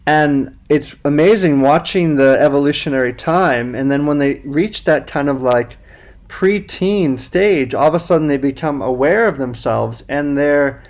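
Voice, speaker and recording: A man's voice: fairly high pitch, a little mellow. A man speaking as a podcast guest and men's coach, musing thoughtfully in casual conversation with spiritual wording.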